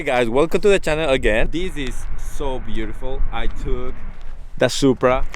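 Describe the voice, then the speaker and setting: A man from Ecuador with a Spanish accent tells his personal story in a casual, earnest vlog style, in non-native English. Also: mid-range voice. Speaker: vlogger. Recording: music under the voice.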